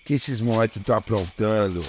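A weary Brazilian policeman acted by a man grumbles his way through an audio drama night shift. His voice sits fairly low and his speech is colloquial and dogged. Some noise surrounds him. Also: a little fast, lightly acted. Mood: suspicious, muttering. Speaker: voice actor.

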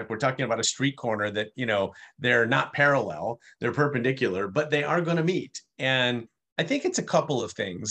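A man's voice: mid-range voice, fairly bright tone. Man explaining in an easy, fluent way. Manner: fluent, explanatory, with playful asides. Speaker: guest, customer experience speaker. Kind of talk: conversational interview answers.

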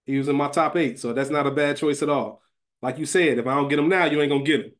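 Male podcast co-host, slightly high voice, loose slangy conversational delivery. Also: steady tone, quick pace. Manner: colloquial, slangy. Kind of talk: casual chat.

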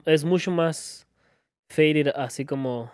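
A man's voice: a little high, measured pace, darkish tone, no room sound, clean studio sound. A man chatting casually as a podcast co-host, switching between Spanish and English mid-sentence. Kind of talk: casual bilingual chat.

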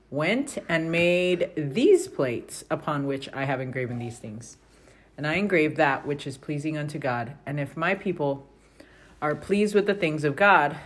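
A woman, a mother at home, reading scripture aloud at a steady pace and then prompting her family with questions. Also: deep voice, husky.

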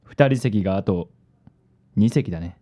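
A man playing a suave, vain young ladies' man in a radio drama, with smooth, affected phrasing. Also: lowish voice, measured pace, warm tone. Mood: suave, smug.

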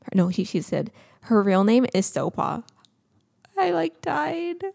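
A young woman co-hosting a podcast, chatting casually and telling stories in an earnest, animated way. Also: a little high, measured pace, gravelly, dry recording, clean studio sound. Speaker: podcast co-host.

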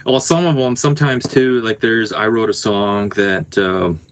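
A man with a fairly low voice talks as a songwriter in a relaxed interview. He speaks haltingly, piecing his thoughts together as he goes. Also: a crowd in the background. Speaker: musician guest, songwriter. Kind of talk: casual interview chat.

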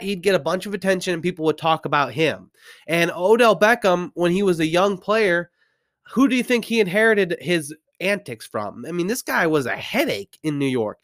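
A man's voice: on the high side, fairly bright tone, dry recording, clean studio sound. A man with a stuffy nose from a cold, giving an opinionated, rambling football recap with many restarts. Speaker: sports commentator. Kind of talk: ranting sports recap.

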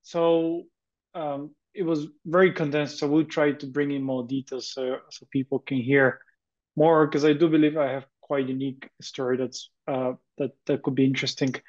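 A man's voice: fairly high pitch, level tone, normal speed, a little mellow. Man on a muffled line. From Bulgaria. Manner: hesitant, many fillers, earnest. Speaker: guest entrepreneur. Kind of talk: podcast interview.

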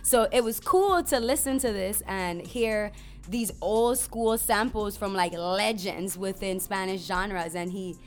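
Animated, profane young woman chatting. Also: mid-range voice, unhurried. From US Virgin Islands (St. Croix). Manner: animated, profane, emphatic storytelling. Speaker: podcast co-host. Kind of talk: casual chat about music.

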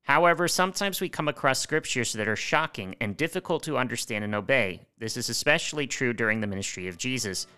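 A man in his thirties, a podcast co-host, speaking in a measured, dry manner. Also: medium pitch, animated, sharp tone, clean tone. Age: 30s. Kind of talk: introduction and casual chat.

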